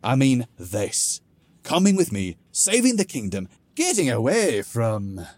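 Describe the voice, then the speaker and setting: A man voicing a calm male dwarf companion who talks gravely, drily and persuasively. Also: medium pitch, unhurried, no room sound, voice pushed up. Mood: coaxing. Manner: grave, dry and coaxing.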